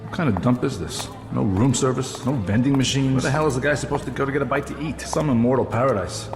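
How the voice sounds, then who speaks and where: A man acting a brash captain in a sci-fi audio drama. He grumbles quickly with irreverent sarcasm, in long reverb with music underneath. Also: medium pitch, close to an everyday voice. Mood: sarcastic grumbling. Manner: sarcastic, slangy complaining.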